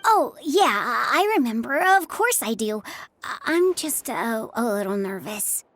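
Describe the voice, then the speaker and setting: A woman's voice: fairly high pitch, animated, measured pace, a bit bright. A woman voicing a nervous but plucky young boy in a video game, hesitant and earnest. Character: nervous, plucky little boy acting as a decoy.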